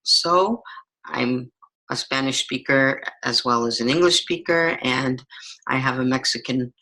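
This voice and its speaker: A middle-aged woman who teaches English as a second language, answering interview questions in a measured, explanatory way. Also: a bass voice, sharp tone, rough recording. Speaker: ESL teacher.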